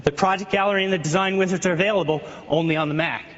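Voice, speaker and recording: A man giving a live software demo on a keynote stage, eager and restarting words as he goes. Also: high voice, steady tone, racing. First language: not French. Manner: eager, repeats words while demoing. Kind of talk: live product demo presentation. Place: large conference hall. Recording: lo-fi hall recording with crowd.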